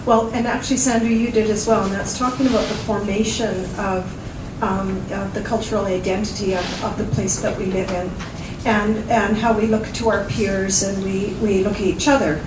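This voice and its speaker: A loud-voiced woman speaks up from the audience, winding her way toward a question. She is far from the mic. The room echoes around her, with noise beneath. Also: medium pitch, level tone, normal speed. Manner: loud, rambling. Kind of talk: question from the floor. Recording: roomy, distant, noisy.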